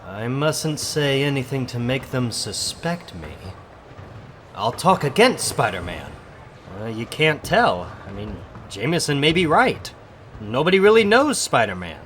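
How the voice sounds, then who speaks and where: Guy doing a put-upon secretive teen boy, hesitant, lively pitch, bit of background noise. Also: measured pace. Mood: guarded. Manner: hesitant, guarded, inward. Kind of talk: comic book dramatic reading.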